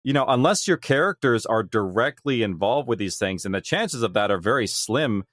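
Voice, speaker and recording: A man's voice: medium pitch, lively intonation, moderate pace, fairly bright tone, dry recording, studio quality. A man talking as a podcast guest, explaining conversationally and voicing imagined quotes. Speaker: podcast guest, audio drama creator. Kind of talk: conversational interview.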